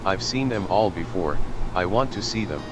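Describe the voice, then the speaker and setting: A man's voice: low voice, animated, normal speed, background noise. A man reading visual novel narration, character names and dialogue aloud. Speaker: reader of visual novel text. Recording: loud music under the voice. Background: loud music.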